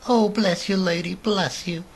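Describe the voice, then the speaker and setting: An actor playing a humble blind street beggar, meek and pleading, in an audio horror drama. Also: male voice, sits high, fairly even intonation, measured pace, background noise. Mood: humbly grateful. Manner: pleading, repetitive. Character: humble blind street beggar selling pencils, meekly grateful.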